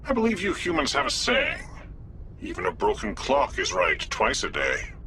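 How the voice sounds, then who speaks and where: A man's voice: mid-range voice, wildly swooping pitch, moderate pace, bright, forceful tone, slight background noise, full character voice. A man playing a condescending, skeptical alien official in a video game, dismissive and sardonic. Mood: scornful. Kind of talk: video game character acting.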